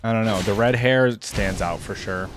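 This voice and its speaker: A man's voice: on the low side, moderate pace, a little hiss or hum. A man giving casual reaction commentary as a video host, musing aloud in a rambling way.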